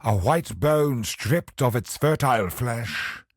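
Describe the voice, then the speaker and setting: An actor playing an ancient, brooding godlike villain in a video game, speaking in grim, theatrical monologue with a husky, breathy voice and hushed phrase endings. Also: male voice, medium pitch, expressive, takes it slowly, no echo, studio quality. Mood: grim, disgusted.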